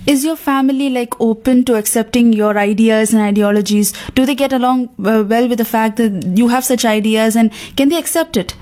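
A woman's voice: fairly high pitch, fairly quick, few pauses, a little mellow. A young woman student speaking conversationally in a group discussion. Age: young adult.

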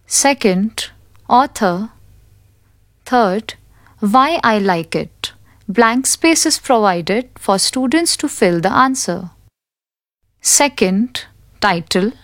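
A woman narrates a school textbook for an audiobook, taking each item slowly and deliberately. Her reading stays careful and even, and the sound is dry and close. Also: mid-range voice, dry recording.

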